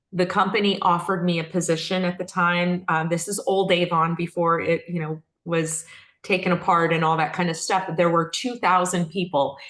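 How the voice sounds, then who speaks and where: A woman's voice: fairly low pitch, a bit bright. A middle-aged woman from Texas talking effusively and earnestly as an interview guest, telling stories in a casual conversational style. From Texas, United States. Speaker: interview guest, company founder. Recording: echoey room sound.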